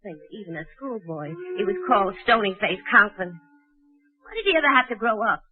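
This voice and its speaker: An actress playing a wisecracking, put-upon schoolteacher with sardonic, deadpan delivery in a 1940s radio sitcom. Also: female voice, mid-range voice, normal speed, lightly acted. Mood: glum, sardonic. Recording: muffled old 1940s radio recording.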